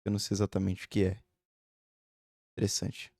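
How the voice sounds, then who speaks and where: A man's voice: sits low, monotone, brisk, vocal fry, dark tone, dry recording, studio quality. A man in his early twenties, chatting casually and rambling with lots of fillers in a home vlog. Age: 20s. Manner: rambling, chatty, frequent fillers and tag questions. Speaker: vlogger. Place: home room.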